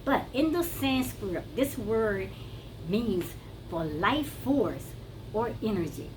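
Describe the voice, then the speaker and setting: A woman's voice: medium pitch, animated, takes it slowly. A woman with a Brazilian accent, talking to viewers in a casual, emphatic teaching style, repeating words for stress. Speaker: wellness vlogger. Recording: noticeable background noise.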